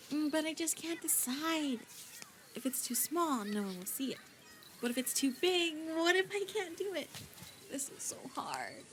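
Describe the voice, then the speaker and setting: A woman voicing a bubbly, naive young shopkeeper who is excitable, chatty and easily torn between choices in a roleplay voice pack. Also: high-pitched, a little hiss or hum, heavily acted. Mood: excited.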